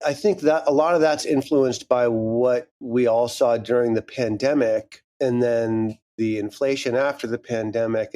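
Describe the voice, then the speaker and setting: Thoughtful male creator, mid-pitched, talks through ideas aloud. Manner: reflective, animated, thinks aloud. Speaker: audio drama creator and guest. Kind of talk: interview conversation.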